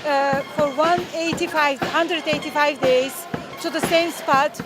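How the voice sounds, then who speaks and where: Uma mulher com sotaque turco fala devagar e com voz aguda, hesitando com muitas pausas e sons de preenchimento. Ela está numa manifestação ao ar livre na rua, e música alta toca bem perto, atrás dela. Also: female voice, high-pitched, fairly even intonation, over loud music. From Turkey. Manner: halting, many fillers, earnest. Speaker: rally participant. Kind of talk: street interview. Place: outdoor street rally.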